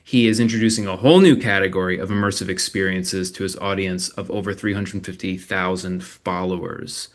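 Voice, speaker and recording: A man with a fairly low voice hosts an online panel discussion. He introduces each guest with enthusiasm and strings together long questions with several parts. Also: fairly even intonation, fairly quick, hardly any pauses. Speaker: panel moderator.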